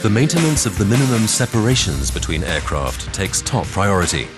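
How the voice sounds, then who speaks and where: Male documentary narrator, lower register, animated intonation, measured explanatory read with clear music underneath. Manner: measured, instructional delivery. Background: background music.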